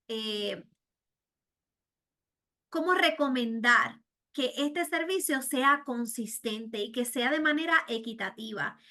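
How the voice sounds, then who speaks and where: A woman's voice: high-pitched, bright, forceful tone. A middle-aged woman with a Puerto Rican accent presenting a webinar to healthcare providers, earnest, with frequent fillers and "¿verdad?" tags. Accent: Puerto Rican Spanish. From Puerto Rico. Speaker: program director presenting a webinar.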